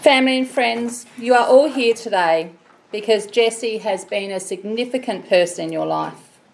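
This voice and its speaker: Aussie lady running a funeral in a chapel, big loud voice with some grit, slow and solemn. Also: fairly high pitch, vocal fry, slight background noise, low-quality recording. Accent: Australian. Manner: measured, solemn delivery. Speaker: funeral celebrant. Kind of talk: officiating a funeral service.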